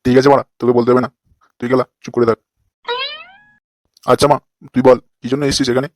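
A man's voice: medium pitch, level tone, quick pace, darkish tone, no room sound, studio quality, lightly acted. A man voicing a crude, lecherous fake holy man in a comic cartoon, with a brash, scolding manner.

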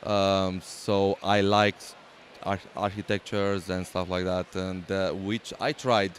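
A man's voice: deep voice, level tone, takes it slowly, a little hiss or hum. A man from Romania with a Romanian accent, talking hesitantly about his build, with many fillers and restarts, in a convention hall interview. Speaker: LEGO builder and exhibitor. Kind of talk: casual interview.

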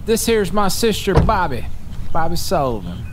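An actor playing a menacing, smarmy male drifter in an audio drama, with a slow drawl. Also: high voice, sing-song, background noise. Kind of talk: radio horror drama.

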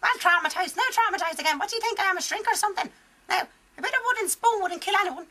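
A young woman voicing a foul-mouthed, gleeful old Irish granny ghost in a radio comedy, with a high, cackling, crude voice. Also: very fast, pushed and bright. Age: young adult. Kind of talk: improvised radio comedy acting. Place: large private home.

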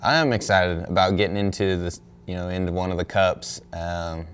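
A young man, a pro angler, answering questions at a press conference in a relaxed, thoughtful way, full of "you know" and "um". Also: sits low, moderate pace, slight background noise. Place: indoor press room in front of a backdrop. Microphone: desk microphone on a stand.

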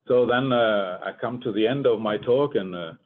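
An older man from Germany gives a research presentation in English as a scientist, halting and deliberate. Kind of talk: conference presentation. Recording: muffled phone-like line, rumbling noise.

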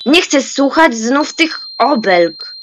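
A woman's voice: high-pitched, expressive, fairly bright tone, slight background noise, full character voice. A young woman playing a grumpy, spiteful old grandmother in an amateur radio play, complaining and indignant. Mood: indignant protest. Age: young adult. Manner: querulous, indignant, drawn-out.

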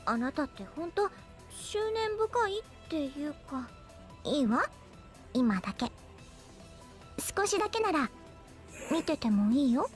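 High-pitched, teasing anime girl archer. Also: big pitch swings, unhurried, long pauses, faint music behind. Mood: coy, teasing. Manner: coy, teasing, theatrical. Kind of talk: Japanese anime game voice acting.

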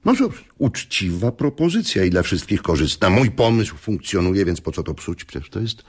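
An actor playing a scheming, pushy chess coach in a radio drama, with a rough voice and insistent, repetitive phrasing. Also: male voice, sits low, sing-song, normal speed, full character voice. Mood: urgent persuasion. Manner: repeats phrases, persuasive, insistent. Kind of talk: Polish radio drama acting.

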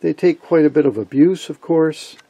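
A man giving a casual, colloquial technical explanation as host of a repair video, talking haltingly. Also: on the high side, dark tone, a little hiss or hum.

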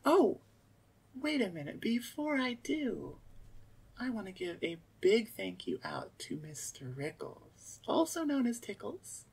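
A woman's voice: a little high, huge pitch swings, a little slow, creaky, darkish tone, husky, recorded from a distance. A woman chatting casually to her listeners in an outro, close on the mic, correcting herself and repeating words for emphasis. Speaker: audio roleplay creator and voice actor. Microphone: close studio-style microphone, seated.

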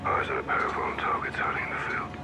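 A man playing a commanding military sniper in a video game, speaking in quiet, clipped phrases. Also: fast talker, in a whisper, lightly acted. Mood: hushed, focused.

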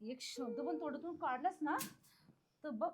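A woman's voice: high-pitched, lively intonation, normal speed. A woman playing a spiteful, domineering middle-aged mother-in-law in a family drama, with colloquial Maharashtrian Marathi and a scolding, repetitive way of talking. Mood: furious, threatening. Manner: scolding, repeats phrases insistently. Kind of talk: amateur family drama acting.

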